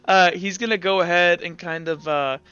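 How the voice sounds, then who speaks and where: A man's voice: sits high, steady tone, moderate pace, sharp tone, clear, resonant tone, dull audio. A man working as game master, casually improvising narration and rulings for a tabletop game.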